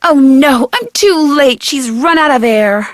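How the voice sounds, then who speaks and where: A woman's voice: high-pitched, lively intonation, a little slow, no echo, studio quality. A woman playing a curious, plucky young detective who thinks aloud in scripted adventure game lines. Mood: urgent, worried. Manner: inquisitive, self-talking. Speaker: video game voice actor.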